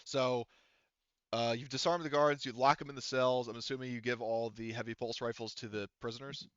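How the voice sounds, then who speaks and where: A man's voice: medium pitch, steady tone, a little fast, a bit bright, clear, resonant tone, dry recording, muffled recording. A man speaking casually as a game master in a tabletop roleplaying game, thinking aloud and swearing now and then.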